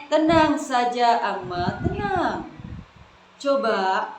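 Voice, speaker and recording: A woman voicing a kind old grandfather who appears in a dream, speaking in a soothing, gentle way, recorded in an echoey room with noise behind. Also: on the high side, sing-song, drawn-out words, full character voice. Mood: calm.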